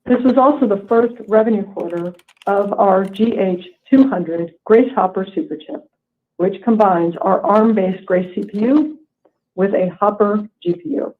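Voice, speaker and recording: A woman executive delivers scripted earnings remarks in a formal, measured manner over a conference call line. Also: medium pitch. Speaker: company executive presenting quarterly earnings. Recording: tinny, narrow phone-line conference call.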